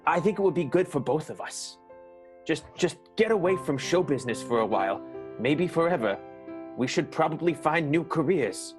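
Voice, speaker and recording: A man's voice: on the high side, expressive, moderate pace, music in the background, lightly acted. A man playing an earnest lover in an improvised radio soap, coaxing someone to run away. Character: earnest male lover urging escape from show business.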